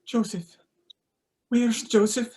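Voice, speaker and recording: A woman's voice: a little high, steady tone, slow pace, dark tone, slightly rough. A woman playing a frightened, distraught mother in a table read. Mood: bewildered. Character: Emma, frightened distraught young mother searching for children.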